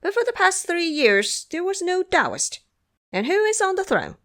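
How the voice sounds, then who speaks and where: A woman's voice: very high voice, huge pitch swings, moderate pace, a bit bright, pitched above the performer's own voice, exaggerated voice. A woman voicing a cocky magical monkey disciple with teasing, dramatic emphasis in an audio drama. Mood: probing.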